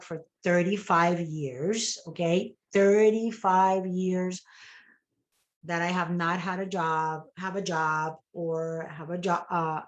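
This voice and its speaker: A middle-aged woman with a Puerto Rican Spanish accent, whose first language is Spanish, giving an enthusiastic motivational talk to a business team and often adding "okay?". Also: on the low side. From Puerto Rico. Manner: enthusiastic, repeats words and tags "okay?". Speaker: network marketing director.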